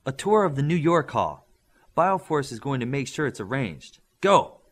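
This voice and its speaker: A man's voice: medium pitch, sing-song, normal speed, pitched above the performer's own voice. A man reading an eager teen team leader. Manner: eager, upbeat.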